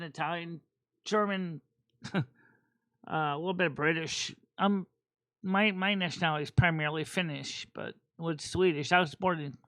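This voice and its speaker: A man hosting a casual chat stream about tarot cards, talking in a rambling, halting way with many fillers and restarts. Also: fairly high pitch, a bit bright, smooth, dry recording. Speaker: host.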